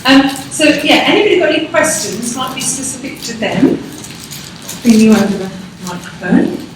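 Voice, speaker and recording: A woman in the audience, a teacher, chatty and animated as she tells an anecdote in a conference hall. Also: a little high, moderate pace, background noise. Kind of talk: telling an anecdote. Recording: echoey hall, distant mic.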